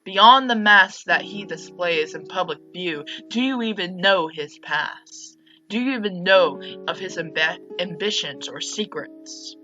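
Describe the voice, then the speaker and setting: A woman's voice: lowish voice, a little slow, bright, forceful tone, highs cut off, soft background music. A woman voicing a cynical, domineering mother from a visual novel, speaking in a stern, insistent, dramatic way. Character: cynical, accusing, domineering mother goading her child. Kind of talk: reading visual novel dialogue aloud.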